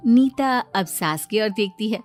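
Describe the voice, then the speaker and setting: A woman's voice: mid-range voice, lively intonation, measured pace, soft background music. A woman narrating a scripted children's story as a storyteller.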